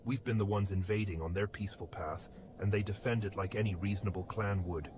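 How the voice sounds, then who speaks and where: Man playing an earnest, low-voiced young warrior. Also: steady tone, fairly quick, noisy background, lightly acted. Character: principled younger warrior brother, earnest and questioning. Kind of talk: dramatic audio drama acting.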